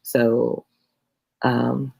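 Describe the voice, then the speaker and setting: A middle-aged tutor with a gravelly, slightly husky voice reads a classic novel aloud during a live stream. Between passages the tutor chats with viewers in a loose, playful, self-deprecating way. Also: medium pitch, little pitch movement, extremely slow, a little mellow. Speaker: tutor hosting a live read-along. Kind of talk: reading a novel aloud, then chatting with viewers.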